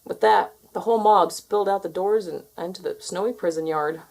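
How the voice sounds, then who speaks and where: A woman reading a children's story aloud as an expressive narrator. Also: lowish voice, brisk, creaky, low-quality recording. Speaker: storybook reader.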